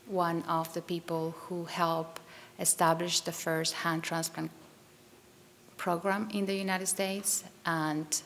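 A woman in her forties, native Spanish speaker with a Colombian accent, giving a panel talk at a podium mic in a wood-paneled hall. Her voice sits on the low side. She speaks in a measured way, with frequent ums, and faint room noise is heard behind her. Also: creaky, fairly bright tone. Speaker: transplant surgeon.

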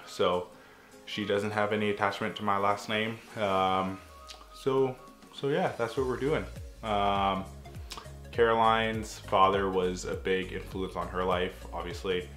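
Lowish young guy vlogging at home, bit nasally from a cold, lots of ums, roomy echo. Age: young adult. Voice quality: a little nasal. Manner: relaxed, many ums and restarts. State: getting a cold. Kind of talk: casual chat to camera. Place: home living room, indoors.

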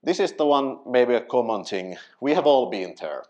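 A man's voice: mid-range voice, very animated, normal speed, darkish tone, muffled recording. A man in his thirties from Finland giving a conference talk, explaining with fillers and restarts, gesturing as he speaks into a headset mic in a small event room. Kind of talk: tech meetup talk.

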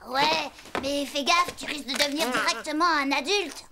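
A woman's voice: sits high, moderate pace, heavy background noise. A woman voicing a small schoolboy sidekick in a French cartoon, a hesitant, earnest know-it-all. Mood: earnest advising. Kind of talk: French animated series dialogue. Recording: loud music behind the voice.

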